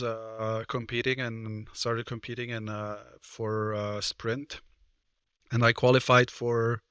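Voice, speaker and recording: Thirties male guest from Switzerland, halting casual talk, bright and monotone in a dry room. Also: medium pitch, clear, resonant tone, no echo. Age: 30s. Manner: hesitant, many fillers and restarts. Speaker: guest, personal trainer and card game player.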